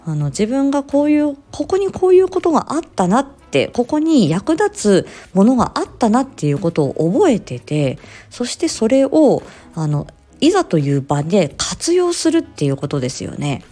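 A woman speech therapist telling stories in a casual, expressive solo chat, acting out short quotes as she explains. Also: a little high, moderate pace, few pauses. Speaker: speech-language therapist hosting an audio diary.

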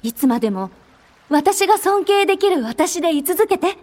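A woman's voice: very high voice, moderate pace. A woman acting in a Japanese radio drama as a cheeky, impulsive young woman who talks boldly and teasingly. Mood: cheerful, encouraging. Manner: bold, teasing, expressive.

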